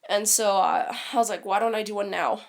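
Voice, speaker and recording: A woman's voice: mid-range voice, steady tone, brisk, creaky. A woman with a stuffy, congested voice from a cold, talking casually on a live stream and rambling with frequent ums and restarts.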